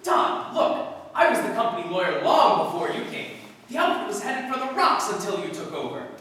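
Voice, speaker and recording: A performer acts an earnest, agitated businessman in an old radio play, pleading and insistent. The voice swoops in pitch and comes from far off in a very echoey hall. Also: sing-song, a little fast, fairly bright tone. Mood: indignant, insistent. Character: earnest, agitated male colleague in a business drama. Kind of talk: 1940s radio acting. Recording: distant echoey hall, faint noise.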